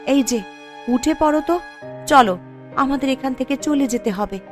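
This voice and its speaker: Woman acting a high-voiced, proud young wife. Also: moderate pace, faint music behind, natural voice. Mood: hurt, firm. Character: proud, outspoken poor married young woman, self-respecting. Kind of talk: Bengali moral-tale cartoon voice acting.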